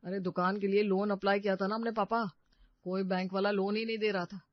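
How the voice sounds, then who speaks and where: A man voicing an excitable member of a family household in a story cartoon. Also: high-pitched, fairly quick, no room sound, highs cut off, lightly acted. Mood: panicked. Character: excitable men of a family household and their visitor. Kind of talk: Hindi moral-story cartoon dialogue.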